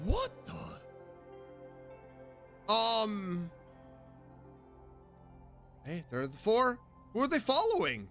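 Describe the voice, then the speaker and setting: A man doing a casual game playthrough. His voice is high and extremely animated, though his pace is slow as he thinks aloud. Game music plays clearly behind him. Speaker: streamer.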